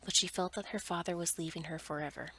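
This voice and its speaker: A woman storyteller reading a fairy tale as ASMR in a soft, breathy whisper. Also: on the low side, fairly even intonation, fast, a little hiss or hum.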